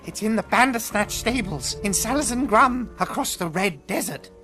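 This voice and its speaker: An actor voicing a fretful, well-mannered rabbit guide in a video game, with a proper, hesitant delivery. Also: male voice, high-pitched, expressive, normal speed, bright, forceful tone, soft background music. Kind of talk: video game cutscene acting.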